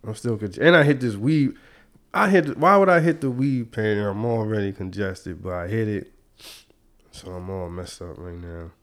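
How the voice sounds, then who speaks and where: A man's voice: fairly low pitch, moderate pace, fairly bright tone. A young man hosting a podcast in a casual, slangy way, stuffy and congested from a cold. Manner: laid-back, slangy, chuckling. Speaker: podcast host.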